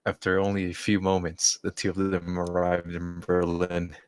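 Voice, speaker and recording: A man's voice: low-pitched, monotone, normal speed, clear, resonant tone, faint music behind. A man reading a story aloud informally, amused and stumbling over some words. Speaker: amateur reader. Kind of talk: casual read-aloud of a story.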